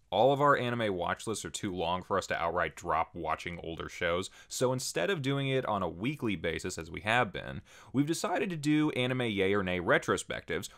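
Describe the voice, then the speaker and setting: A man with a somewhat low voice speaks as the host of an online channel. His pitch moves around a lot, giving the delivery an animated swing. He addresses viewers in an informal, prepared update style, dropping in wry asides. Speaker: channel host and video creator. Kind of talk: pre-recorded channel update, casual scripted address to viewers.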